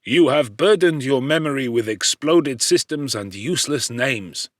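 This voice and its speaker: A gruff, scornful professor of science, acted out by a male audiobook narrator, scolds with pompous heat. He stresses his words emphatically at a middling pitch. The sound around him is dry. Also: expressive, a little slow, no room sound, natural voice. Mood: heated scolding.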